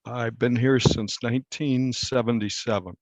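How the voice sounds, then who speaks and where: An American man in his sixties talking casually as an interview guest, plain-spoken and unhurried. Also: medium pitch, fairly even intonation, dry recording. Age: 60s. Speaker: veteran newspaper reporter, interview guest. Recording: slightly dull, lo-fi.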